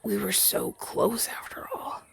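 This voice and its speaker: A man voicing a gentle, wistful demon familiar in an ASMR roleplay, soft-spoken and hesitant, in a breathy, husky half-whisper. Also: a little slow, no echo, natural voice. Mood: hesitant, sad. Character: gentle, wistful male rogue demon familiar left masterless. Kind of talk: ASMR roleplay audio drama, softly spoken to listener.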